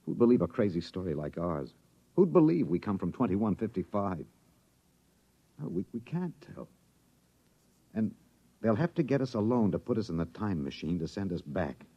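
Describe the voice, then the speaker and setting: An actor playing a tense, hunted husband on the run in a 1950s radio drama, urgent and protective. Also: male voice, fairly low pitch, sing-song, normal speed, pauses often, dark tone, breathy edge, no echo, lo-fi sound, exaggerated voice. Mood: grim, anxious. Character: tense, hunted husband, a fugitive time traveler.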